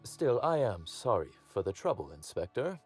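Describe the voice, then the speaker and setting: Suave menacing crime boss, game acting, lively intonation, wry, theatrical, faint music behind. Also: male voice, fairly low pitch, moderate pace, slightly rough. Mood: smooth, candid. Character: suave, amused, menacing male crime boss in corporate employ.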